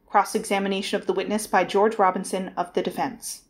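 A woman narrating a trial drama in a formal, deliberate, scripted announcing style. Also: medium pitch, level tone.

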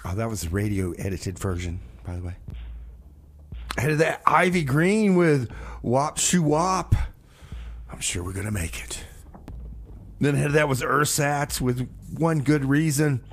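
A man's voice: mid-range voice, animated, a little slow, a little hiss or hum. A man hosting a community radio show in a studio, talking casually and hesitantly, with frequent restarts. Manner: rambling, hesitant, full of restarts. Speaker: radio host.

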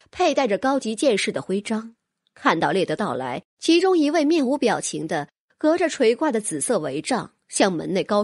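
A woman's voice: medium pitch, husky, no room sound, clean studio sound. A woman reading a fantasy novel as scripted audiobook narration.

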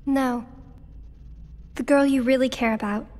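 Soft sad young girl in a game, high voice, slow and pleading. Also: steady tone. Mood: gentle. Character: gentle, sorrowful young girl. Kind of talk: video game voice acting. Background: game score.

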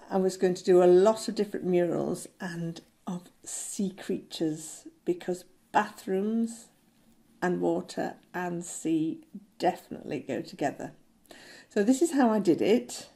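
Older woman tutor, slow and chatty, mid-pitched voice, webcam in a small room at home. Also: gravelly, warm tone. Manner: unhurried, chatty, practical. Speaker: art tutor.

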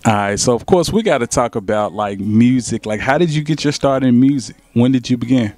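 Young male host, energetic promo delivery, dry close handheld mic. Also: mid-range voice, brisk, dry recording. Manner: hype, promotional, casual slang. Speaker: talk show host. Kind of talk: upbeat talk show hosting. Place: small studio set with couch.

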